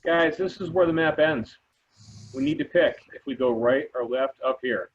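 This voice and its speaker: A man's voice: mid-range voice, normal speed, slightly rough, highs cut off, natural voice. A man playing a practical teacher leading a treasure hunt, reading a script over thin audio. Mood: matter-of-fact. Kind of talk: amateur table read of a movie script.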